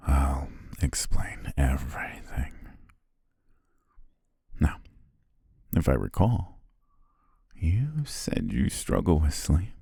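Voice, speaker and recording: A man playing a courteous, devious hypnotist in an ASMR roleplay, speaking in a soothing, breathy whisper with genteel, deliberate pauses. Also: mellow, no echo, studio quality, exaggerated voice. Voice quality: whispery, breathy, very low and gravelly. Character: devious, courteous male hypnotist welcoming a client.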